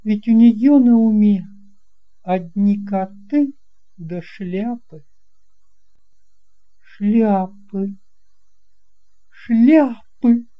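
A woman reading a children's story expressively, with deliberate phrasing and dramatic pauses. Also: mid-range voice, muffled recording. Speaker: audiobook reader.

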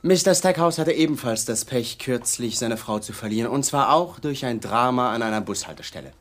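An actor playing an accusatory young police detective in a German radio drama, mock-polite and insinuating. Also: male voice, medium pitch, lively intonation, a little fast, fairly bright tone, natural voice. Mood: smug, needling.

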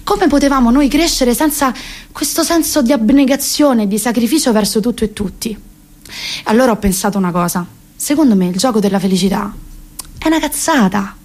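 Roman woman late 20s doing a sarcastic stand-up style theatre monologue, highish voice, very emphatic. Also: brisk, a little mellow. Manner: colloquial, sarcastic, emphatic storytelling with rhetorical questions. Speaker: actress performing her own comic monologue.

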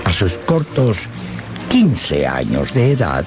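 An actor playing a wry storyteller in a Spanish-language radio drama, with a theatrical, drawn-out delivery. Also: male voice, lowish voice, huge pitch swings, full character voice. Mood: storytelling. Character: wry male storyteller of a classic Spanish tale. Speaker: narrator. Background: loud music.

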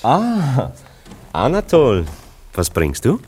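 An actor in a German radio play voicing a wry, teasing confidant with an amused, ironic, theatrical delivery. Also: male voice, medium pitch, wildly swooping pitch, slow pace, darkish tone, husky, full character voice. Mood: expectant. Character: wry, teasing male confidant of a romantic friend.

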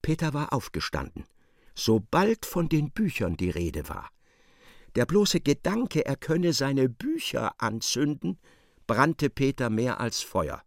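A man acting as the sardonic narrator of a literary radio play, articulate and expressive. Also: mid-range voice, slow pace, gravelly, no echo, studio quality, heavily acted. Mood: animated. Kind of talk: dramatised scripted narration.

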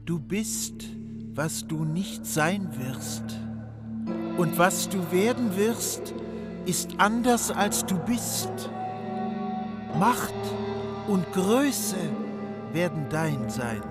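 Old fortune-teller, extremely slow. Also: female voice, lowish voice, expressive, over loud music. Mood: ominous. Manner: halting, portentous. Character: mysterious old fortune-teller woman, solemnly prophetic. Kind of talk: German radio drama acting.

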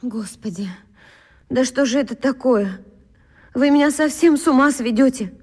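A woman's voice: sits high, normal speed, darkish tone, husky. An actress playing an exasperated, flustered schoolteacher questioning her pupils in a children's radio play, in a reverberant space. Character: exasperated flustered female schoolteacher, at wits' end. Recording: long hall-like reverb.

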